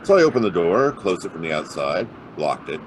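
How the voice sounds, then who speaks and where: An actor playing a wry, hard-boiled private detective in a noir audio drama, narrating and talking in terse slang. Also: male voice, low voice, big pitch swings, brisk, noisy background. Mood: brooding, wry.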